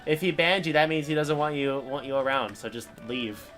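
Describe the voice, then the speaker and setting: A man's voice: fairly high pitch, normal speed, fairly bright tone, faint music behind. A man streaming a video game, chatting casually and rambling over the game's dialogue.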